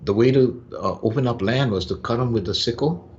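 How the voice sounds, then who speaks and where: An older man, telling stories unhurriedly as a podcast guest, pausing on "uh" and tagging lines with "yeah?". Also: medium pitch. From Hawaii. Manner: unhurried, with frequent "uh" pauses and "yeah?" tags. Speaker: guest, farmer and business owner. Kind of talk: relaxed storytelling in conversation. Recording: dull lo-fi sound.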